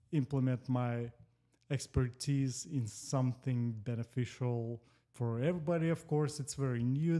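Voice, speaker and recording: Slow, mellow Moldovan man. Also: mid-range voice. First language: Russian. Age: 40s. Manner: slow, careful phrasing with pauses. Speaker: guest. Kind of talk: casual interview.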